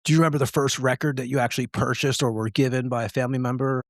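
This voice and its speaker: A man's voice: mid-range voice, fairly even intonation, very fast, dry recording. A man hosting a podcast, asking long, rambling questions full of fillers. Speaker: podcast host. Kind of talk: casual interview questions.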